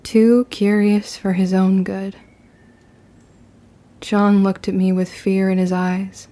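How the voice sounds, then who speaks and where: A woman's voice: mid-range voice, little pitch movement, slow, warm tone, natural voice. An actress playing an earnest, bookish young witch in a period audio drama, speaking in formal old-fashioned phrases. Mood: shaken, confessional.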